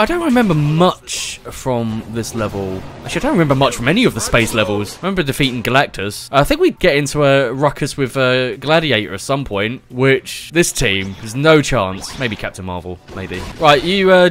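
A man's voice: medium pitch, fairly bright tone. A young man hosting casual gaming commentary, jokey and rambling, often laughing. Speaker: host.

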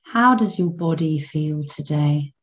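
A woman guiding a children's meditation in a gentle, soothing manner, in measured phrases with long pauses between them. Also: deep voice, muffled recording. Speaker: meditation guide.

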